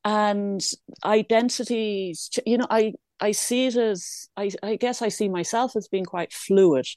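A woman's voice: mid-range voice, steady tone, a little slow, a little mellow, dry recording, studio quality. A woman born in England, now living in Ireland, answering interview questions in a reflective, hesitant way with many restarts and small laughs. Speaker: guest.

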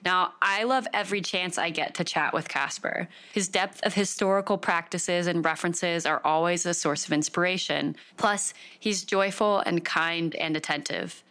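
A woman hosting a podcast with a lowish voice. She reads her intro in an upbeat way and reacts to her guest with quick laughs and gasps. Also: a bit bright. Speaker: podcast host. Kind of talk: podcast interview with scripted intro.

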